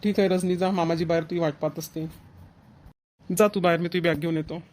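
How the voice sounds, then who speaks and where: A man voices a young newlywed husband from a cartoon comedy, clingy and earnest. He speaks Marathi with a Varhadi accent, rattling along quickly with little melodic movement, reassuring again and again. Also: fairly high pitch, steady tone, background noise, lightly acted. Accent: Varhadi Marathi, Vidarbha. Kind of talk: cartoon family comedy dialogue.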